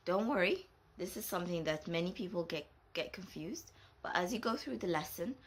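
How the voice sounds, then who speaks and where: A woman whose first language is Arabic, from Saudi Arabia, teaching a patient Arabic lesson and repeating each example word slowly. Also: lowish voice, expressive, slightly rough.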